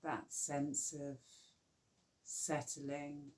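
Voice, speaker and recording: Woman, low-pitched, very slow, warm mellow tone, soothing meditation teacher. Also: flat delivery. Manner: slow, soft, soothing guidance with long pauses. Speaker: yoga nidra teacher. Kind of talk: guided relaxation meditation.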